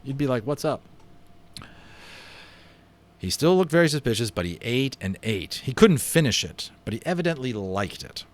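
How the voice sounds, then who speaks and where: A man's voice: mid-range voice, very animated, fairly quick, hardly any pauses. A man reading a children's story aloud with enthusiastic, dramatic expression and casual asides. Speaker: amateur voice actor reading a children's book aloud.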